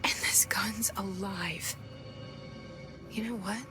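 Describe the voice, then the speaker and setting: An actress playing a haunted, guarded young woman in a video game, speaking a hushed, introspective monologue. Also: medium pitch, steady tone, lightly acted. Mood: unsettled. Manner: hushed, slow inner monologue. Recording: loud music behind.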